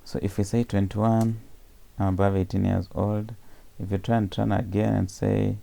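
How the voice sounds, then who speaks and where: A man explaining programming step by step in a screencast tutorial, pausing often with fillers and correcting himself as he goes. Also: lowish voice, flat delivery, gravelly, warm tone.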